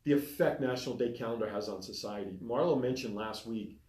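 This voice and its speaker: A man's voice: medium pitch, brisk, reverberant. A man co-hosting a talk show, chatting casually and reading through a list of topics. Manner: lively, reads through a list. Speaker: podcast co-host. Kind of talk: casual chat. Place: small studio.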